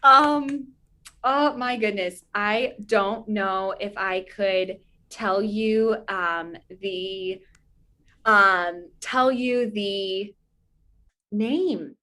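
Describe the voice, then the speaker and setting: A woman's voice: mid-range voice, extremely slow, sharp tone. A woman chatting casually as a guest on an interview show, talking about charity work and favorite things. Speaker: podcast guest, charity advocate.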